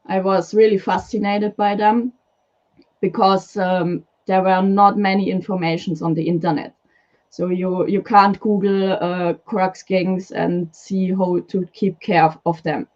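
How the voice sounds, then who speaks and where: A woman's voice: mid-range voice, little pitch movement, unhurried, a little mellow, highs cut off. A woman from Switzerland with a Swiss German accent, a guest talking about keeping reptiles, hesitant with many fillers. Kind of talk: live stream interview. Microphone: video call.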